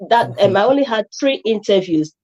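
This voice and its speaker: A woman's voice: medium pitch, expressive, fairly quick, a little mellow, lo-fi sound. A woman from Nigeria with a Nigerian accent, a guest on a live stream, talking emphatically with many fillers and restarts.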